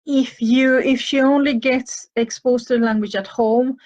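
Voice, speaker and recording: A woman's voice: high-pitched, steady tone, measured pace, darkish tone, lo-fi sound. Older Finnish woman, slight cough. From Finland. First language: Finnish and Swedish. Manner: thoughtful, hesitant, many fillers and restarts. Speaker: host answering listener questions. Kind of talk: advice talk answering questions.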